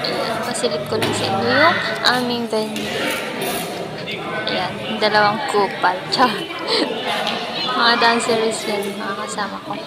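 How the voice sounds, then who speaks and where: A woman's voice: on the high side, flat delivery, unhurried, pauses often, a loud crowd. A woman with a Filipino accent narrates a casual vlog, chatty and playful with slangy asides. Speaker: vlogger and assistant stage director. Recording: voice-over under loud music.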